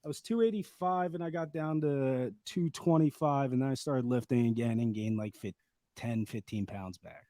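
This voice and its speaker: A man with a slightly raspy voice, a podcast guest rambling through a personal story in casual chat with lots of fillers. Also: medium pitch, normal speed, mellow, dry recording. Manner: rambling, laid-back storytelling with many fillers.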